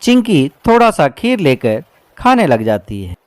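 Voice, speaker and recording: A man's voice: a little high, wildly swooping pitch, unhurried, no echo. A man narrating a children's moral story in a scripted storytelling style.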